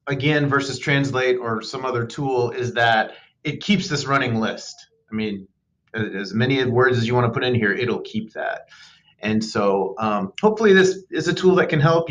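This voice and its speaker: A man giving a loose, unscripted screen-recorded software tutorial as a teacher, with frequent ums and uhs. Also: mid-range voice.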